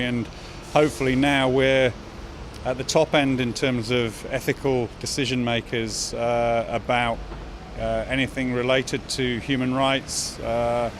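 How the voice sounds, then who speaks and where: A man answers thoughtfully, circling back on his words with frequent fillers. Loud traffic noise fills the street around him. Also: mid-range voice. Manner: thoughtful, repeating himself, fillers. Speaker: passer-by. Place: outdoors on a city street.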